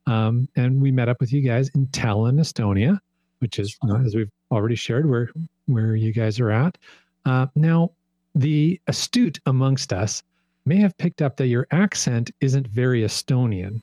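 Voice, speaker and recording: A man's voice: mid-range voice, normal speed, warm tone, no room sound, highs cut off. A man hosting a podcast, asking questions in an upbeat, chatty way. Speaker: podcast host. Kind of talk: casual interview chat.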